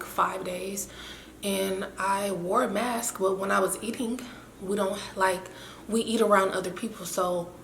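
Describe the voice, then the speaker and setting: A young woman with a raspy, winded voice, chatting in a rambling, self-mocking way to her camera. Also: medium pitch, fairly bright tone. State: winded and hoarse after illness. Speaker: vlogger. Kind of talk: casual chat to camera. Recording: echoey room with faint hiss.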